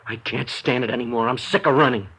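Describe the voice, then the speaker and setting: An actor playing a desperate, cornered male embezzler in a 1950s radio drama, low and menacing, weary. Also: fast, a bit bright, husky, rough recording. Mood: weary threat. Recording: vintage radio transcription.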